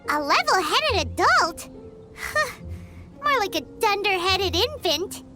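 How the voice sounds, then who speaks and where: Tiny fairy companion, very high squeaky childlike voice, blunt, bossy, lively intonation, video game. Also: female voice, takes it slowly, exaggerated voice. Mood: cheeky, scolding. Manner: bossy, teasing, exclamatory. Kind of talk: video game character acting. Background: background music.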